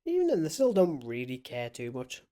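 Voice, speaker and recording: A man's voice: a little high, wildly swooping pitch, fairly quick, dark tone. A man co-hosting a film podcast, chatting casually and musingly as he comments on a movie.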